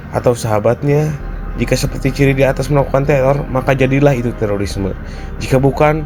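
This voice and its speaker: A young adult man reading a scripted spoken-word essay in a rhetorical, declamatory manner. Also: medium pitch. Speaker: university student.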